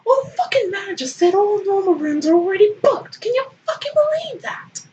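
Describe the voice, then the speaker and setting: A woman's voice: very high-pitched, very animated, brisk, darkish tone, muffled recording, slight background noise, pitched above the performer's own voice. A woman voicing a hot-tempered, foul-mouthed, flustered man in a comic dramatic fan fiction reading, exclaiming in exasperation. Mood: furious.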